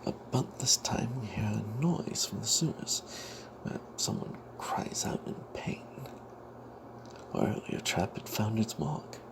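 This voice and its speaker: A man narrating a first-person fantasy story as ASMR in a breathy whisper, hushed and halting, with wry asides. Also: on the low side, animated. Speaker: storyteller narrating own tabletop character. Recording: noticeable background noise.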